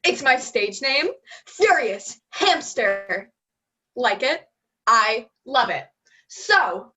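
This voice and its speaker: A woman's voice: high-pitched, sharp tone, heavily acted. A teenage girl playing a carefree, self-important contest winner in a stage comedy, speaking breezily.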